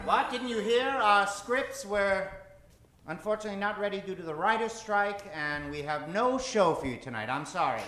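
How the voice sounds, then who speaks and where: A man's voice: high-pitched, lively intonation, normal speed, soft background music. A man hosting a live improv comedy show, playfully working the audience from a theater stage. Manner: playful, repeats audience shouts back. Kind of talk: live comedy crowd work. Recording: very echoey theater, audible crowd.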